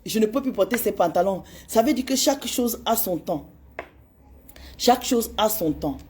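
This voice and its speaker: A woman in her forties talking to her followers with a warm, mellow tone. She speaks with heated urgency and pleads with them, hammering the same phrases again and again. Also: medium pitch, lively intonation, moderate pace. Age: 40s. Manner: impassioned and pleading, repeats phrases. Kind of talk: direct address to followers on social media.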